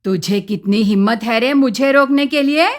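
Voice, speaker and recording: Villainous older mother-in-law played by a woman narrator: greedy, sneering, bossy, lower-pitched scold for a moral tale. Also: normal speed, close to an everyday voice. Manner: harsh, sneering, threatening.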